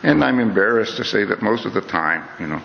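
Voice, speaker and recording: A man's voice: lowish voice, animated, fairly quick, gravelly, slight background noise. A middle-aged man giving an earnest, conversational talk, often saying "you know" and restarting his phrases. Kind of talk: reflective lecture to an audience. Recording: dull lo-fi recording in a reverberant hall.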